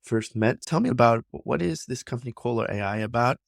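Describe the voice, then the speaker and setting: A man's voice: medium pitch, brisk, gravelly, no echo, clean studio sound. A man hosting a podcast, reading a polished intro and then interviewing his guest with enthusiasm. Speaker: podcast host.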